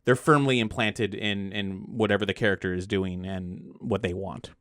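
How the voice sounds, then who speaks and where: A man talking casually on a podcast, explaining his ideas in a rambling, animated way. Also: fairly low pitch, moderate pace, no echo, studio quality. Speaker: game designer and podcast guest.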